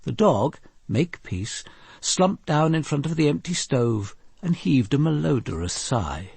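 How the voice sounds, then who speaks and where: An actor playing a composed, probing police commander in a radio drama, speaking in a measured, precise way. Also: male voice, a little high, very animated, vocal fry, background noise, exaggerated voice. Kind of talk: radio drama dialogue and first-person narration.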